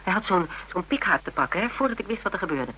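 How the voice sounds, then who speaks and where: A woman's voice: on the low side, expressive, quick pace, sharp tone. An actress playing a spirited, teasing woman in a radio drama, telling things playfully and dramatically with self-mockery. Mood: awed, excited. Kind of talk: Dutch radio drama dialogue. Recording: hummy, noisy old radio recording.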